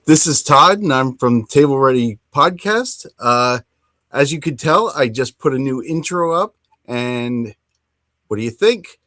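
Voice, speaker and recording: A middle-aged man hosting a casual hobby podcast, talking enthusiastically in a rambling, halting way. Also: medium pitch, lively intonation, sharp tone, dry recording. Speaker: podcast host.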